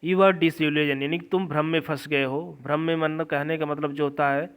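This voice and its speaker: High-pitched Indian man teaching a lesson. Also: fairly even intonation, smooth. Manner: repetitive, explanatory, reads English slowly phrase by phrase. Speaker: English literature teacher. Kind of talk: lesson, reading English aloud and explaining it.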